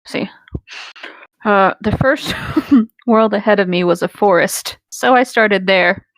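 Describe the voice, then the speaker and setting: A woman's voice: medium pitch, slow pace, dry recording, low-quality recording. A woman bantering casually and reading aloud with friends, in a playful, chaotic manner. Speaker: co-reader on a group creepypasta reading show. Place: online voice chat.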